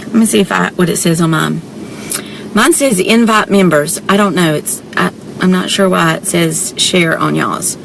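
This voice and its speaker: A chatty woman with a Southern drawl from deep South Georgia, talking into her phone from a parked car. She greets viewers one by one in a friendly, easygoing way and sprinkles y'all through everything. Faint background noise sits under her voice. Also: creaky, poor audio. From South Georgia, USA. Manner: chatty, warm greetings, frequent y'all, laughs often. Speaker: direct-sales presenter hosting a live stream. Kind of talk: casual livestream chat greeting viewers. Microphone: phone held or mounted in car.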